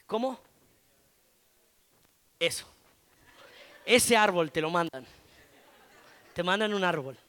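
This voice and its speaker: A man with an Argentine Spanish accent preaching a conversational sermon as a pastor, often pausing on "eh" and asking "¿no?". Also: high voice, expressive, sharp tone, smooth. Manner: conversational, frequent filler "eh" and tag "¿no?".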